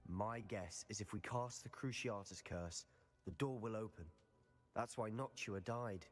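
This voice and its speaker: A man's voice: on the low side, measured pace, faint music behind. A man playing an intense, persuasive teenage boy wizard in a scripted video game, impatient and determined. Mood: scheming. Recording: long hall reverb.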